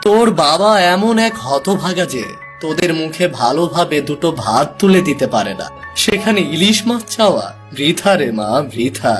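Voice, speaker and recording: A man's voice: a little high, sing-song, moderate pace, music in the background. A man playing a poor, kind-hearted fisherman and father in a cartoon, with a plaintive, exaggerated delivery. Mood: downhearted. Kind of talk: Bengali cartoon fairy-tale acting.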